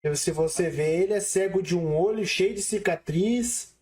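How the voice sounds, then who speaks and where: Mellow Brazilian podcast co-host. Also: medium pitch, normal speed. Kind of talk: casual film discussion.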